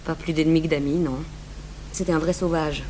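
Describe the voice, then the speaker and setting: Detached young lady female actor, slightly low register, polite but unsentimental, old radio play with light background noise. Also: fairly even intonation, a little fast, warm tone, lightly acted, rough recording. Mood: cool, detached. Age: young adult. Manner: cool, matter-of-fact. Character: cool, detached young bourgeois sister of victim. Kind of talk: 1980s French radio drama acting. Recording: faint noise and hum, old radio recording.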